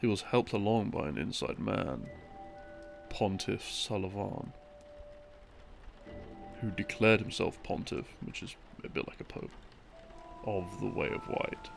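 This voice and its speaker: A man playing an easygoing boyfriend who tells rambling bedtime game lore in a casual, unhurried, conversational way. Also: lowish voice, creaky. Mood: relaxed storytelling. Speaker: audio roleplay performer. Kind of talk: rambling bedtime storytelling, sleep-aid roleplay audio. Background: background music.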